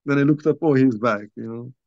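An older man from Algeria, a guest author, telling a personal story in a halting, conversational way with many restarts, recorded over a video call from a small room at home. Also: medium pitch, a little mellow, dry recording. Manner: halting, restarts often, many you-knows. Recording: dull lo-fi video call audio.

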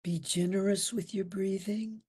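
A woman guides a meditation in a slow, relaxed voice. Her tone is breathy and a little husky, and she leaves long gaps between short, soothing phrases. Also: medium pitch. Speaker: meditation instructor.

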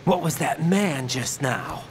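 An actor playing an earnest, naive young special-ops rookie in a video game, with a questioning manner. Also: male voice, a little high, animated, slow, slight background noise. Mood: puzzled, probing. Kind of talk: video game cutscene acting.

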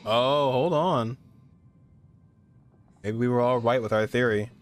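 Slow-talking man reacting. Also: mid-range voice, slight background noise. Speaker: streamer reacting to a game. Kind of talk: casual reaction commentary. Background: game music.

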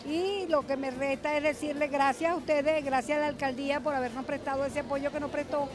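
Middle-aged woman, Venezuelan accent, high voice, informal interview, artisan at a fair, background music. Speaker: artisan and event organiser. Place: busy open-sided fair hall. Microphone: handheld interview microphone.

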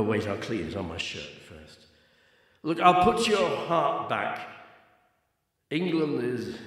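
An actor playing a bitter, nervous geology researcher in a radio play, halting and repeating his words. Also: mid-range voice. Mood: shocked, apologetic. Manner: hesitant, repeating words, swearing. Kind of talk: radio drama acting. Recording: very echoey cavern reverb with music.

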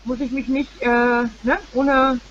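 Middle-aged woman co-host, slightly high voice, lo-fi phone sound, relaxed and rambling. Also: slow, slight background noise. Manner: relaxed, fillers, trails off, mixes in English. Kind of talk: casual chat. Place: home living room, indoors.

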